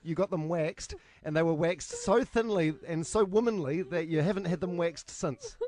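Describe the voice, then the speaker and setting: A man's voice: on the high side, moderate pace, darkish tone. A man with a New Zealand accent hosting a radio show, teasing the guest and stumbling over his words. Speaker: radio host.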